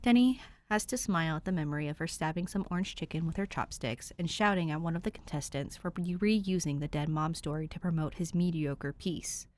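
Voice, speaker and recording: A woman reading fan fiction aloud as a podfic narrator, in an even storytelling manner on a close home mic. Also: low voice, a little fast, few pauses. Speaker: podfic reader.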